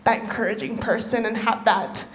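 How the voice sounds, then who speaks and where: A woman's voice: a little high, normal speed. A woman acting as a theatre judge gives informal, enthusiastic and encouraging feedback to young performers.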